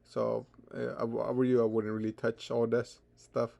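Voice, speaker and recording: Hesitant man walking through a software tutorial. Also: mid-range voice, completely flat delivery, creaky. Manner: hesitant, halting, stammering and repeating words. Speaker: tutorial presenter. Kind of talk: unscripted software screen tutorial.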